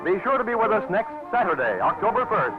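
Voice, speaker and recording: A man gives credits and promotions in a lively 1940s network announcer style. His voice is high and swings widely. The sound is tinny, with music behind him. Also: fast. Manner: bright, lively promotional delivery. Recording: tinny old radio broadcast with music.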